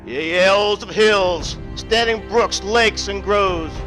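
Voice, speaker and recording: A man acts out a commanding magician in a classical stage speech. The voice is high and slow, solemn and incantatory, with music clearly heard behind it. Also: bright, forceful tone, heavily acted, low-quality recording. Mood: solemn, invoking. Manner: declamatory, incantatory.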